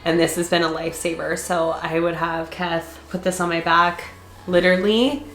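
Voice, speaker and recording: Congested lady vlogging, chatty, lots of likes and giggles, lowish voice, echoey room. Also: steady tone, normal speed, fairly bright tone. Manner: chatty, laughs often, many fillers. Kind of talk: casual chat.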